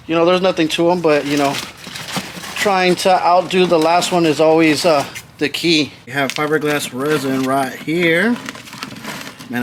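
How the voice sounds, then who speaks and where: A man hosting a casual hands-on DIY vlog outdoors, talking to viewers in an informal, slangy, repetitive way. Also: on the high side. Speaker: host of a DIY car audio video channel. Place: backyard, outdoors. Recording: noisy outdoor recording, vehicle and ambient sounds.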